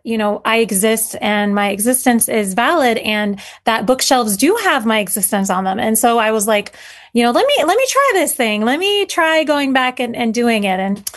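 Earnest woman author, raised in Syria. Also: fairly high pitch. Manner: earnest, many fillers and restarts. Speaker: children's author guest. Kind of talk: casual interview talk.

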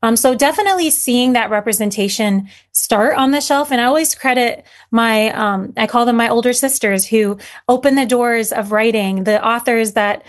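A woman's voice: a little high, moderate pace, hardly any pauses. Earnest woman author, raised in Syria. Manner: earnest, many fillers and restarts. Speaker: children's author guest. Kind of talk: casual interview talk.

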